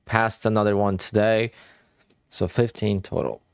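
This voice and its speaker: A man in his thirties with a light Polish accent talks casually to his live-stream viewers, in short, relaxed bursts. His voice sits fairly low. The sound is dry and close. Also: unhurried, vocal fry, clear, resonant tone, no room sound. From Poland. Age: 30s. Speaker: day trading streamer.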